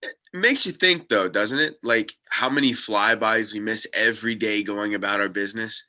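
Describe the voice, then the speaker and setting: A man's voice: lowish voice, normal speed, sharp tone. A man acting an upbeat, earnest UFO-hunting sidekick who consoles and encourages, in a comedy table read. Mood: casual, musing.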